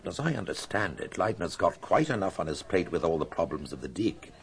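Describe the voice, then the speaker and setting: An actor playing a genial, worldly British doctor, wry and conversational, in a radio drama. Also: male voice, low voice, sing-song, brisk, vocal fry, exaggerated voice.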